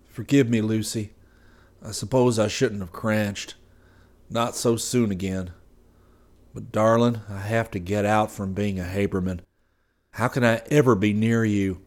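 A man's voice: on the low side, steady tone, measured pace, a little mellow. A man voicing a weary, sorrowful husband. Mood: sad pleading. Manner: sad, pleading, obstinate. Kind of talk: audiobook character voice.

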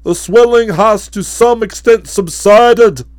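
A woman's voice: mid-range voice, slow, darkish tone, no room sound, natural voice. A woman voicing a pompous, towering English aristocrat who is dazed after a fall, speaking in a stiff and formal way in an audiobook. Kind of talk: audiobook character reading.

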